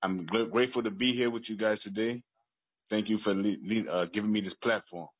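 A middle-aged American man speaks on the lower side with a husky edge. He gives personal testimony earnestly, stopping and repeating words. The sound is dulled, like a video call. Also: fairly even intonation, brisk. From Chicago, United States. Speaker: public witness at a legislative hearing. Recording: muffled, echoey video call.

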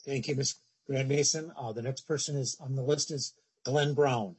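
A man's voice: medium pitch, steady tone, moderate pace, darkish tone, slight background noise. A man chairs a formal meeting, politely giving procedural instructions with some hesitation. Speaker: committee chair.

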